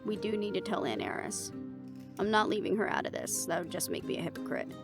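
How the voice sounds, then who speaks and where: A woman performing a cautious, protective hunter in a fantasy audio drama. She is blunt and skeptical, with a creaky, lively voice that swings in pitch over clearly audible music. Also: fairly quick, exaggerated voice. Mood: blunt, practical. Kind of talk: scripted audio roleplay drama. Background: background music.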